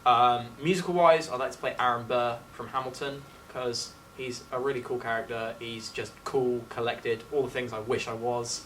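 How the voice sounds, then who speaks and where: A man's voice: mid-range voice, fairly even intonation, normal speed, hardly any pauses, a bit bright. Effusive teenage man, slightly distant. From England. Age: late teens. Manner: effusive, peppered with "like". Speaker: student actor being interviewed. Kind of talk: casual interview chat. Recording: distant mic with faint background noise.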